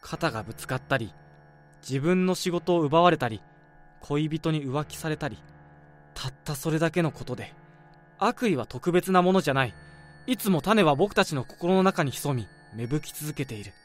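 A man's voice: a little high, expressive, normal speed, faint music behind, close to an everyday voice. An actor playing a polite but menacing young male office worker in a radio drama, shifting from teasing to coolly sinister talk. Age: young adult. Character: sly young male coworker who talks about murder.

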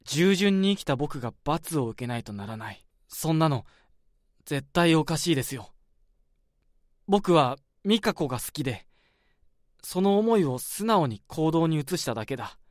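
A man's voice: on the high side, animated, normal speed, dry recording, clean studio sound, close to an everyday voice. A man playing a resentful young junior coworker harboring murderous intent, speaking in a bitter, accusing way. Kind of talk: radio drama acting.

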